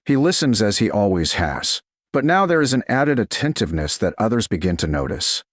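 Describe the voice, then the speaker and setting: A man reads a romance audiobook in a slow, soft, deliberate way. His voice is low but moves through a lively, melodic range. The recording is dry and close, with no audible room. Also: no room sound. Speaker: narrator. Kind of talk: scripted audiobook narration of a romance.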